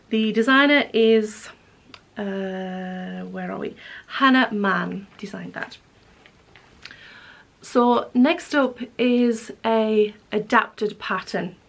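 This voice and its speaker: Woman, hoarse and croaky, casual rambling knitting vlog to camera. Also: medium pitch. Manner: chatty, rambling, self-correcting. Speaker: knitting vlogger. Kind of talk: casual chat showing yarns to camera.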